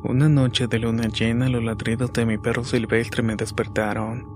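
Man narrating scary stories, flat delivery. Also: mid-range voice, normal speed. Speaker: narrator of listeners' paranormal stories. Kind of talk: scripted horror story narration. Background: background music.

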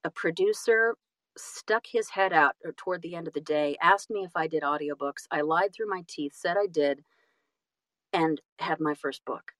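Female interview guest, slightly low-pitched, self-deprecating storyteller, casual and emphatic, very dry close sound. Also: normal speed, fairly bright tone, no room sound, poor audio. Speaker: guest, audiobook narrator and actress.

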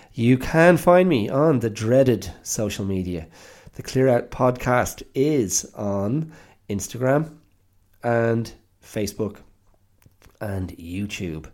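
A middle-aged man hosting a reflective solo podcast, a little congested, speaking slowly and thoughtfully with many pauses and restarts. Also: lowish voice, darkish tone. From Ireland. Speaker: podcast host.

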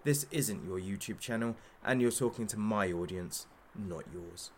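A man's voice: on the low side, a little slow. An actor playing a masked hostage-taker who runs a talk show, gleefully cruel, sarcastic and showman-like, in an audio drama. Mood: scornful.

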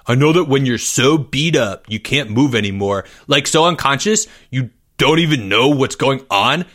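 A man's voice: mid-range voice, lively intonation, normal speed, fairly bright tone. A man voicing a mocking know-it-all schoolboy with a taunting manner, from a storybook.

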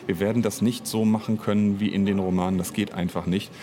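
A man's voice: on the low side, fairly even intonation, fast talker, mellow, slight background noise. A man speaking unscripted as an interview guest, an audio drama author and director who reflects hesitantly and searches for words.

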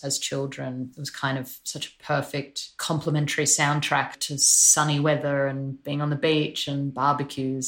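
A woman's voice: deep voice, a bit bright. A woman, a drummer talking thoughtfully in an interview. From Australia. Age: 30s. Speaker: drummer, guest. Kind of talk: relaxed reflective conversation.

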